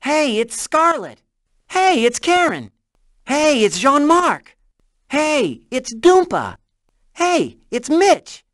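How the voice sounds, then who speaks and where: A man's voice: very high-pitched, huge pitch swings, slow, a bit bright, no echo, studio quality, full character voice. A man voicing a cocky, plucky young dragon hero in a cartoonish video game, casual and cheeky. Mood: cheerful.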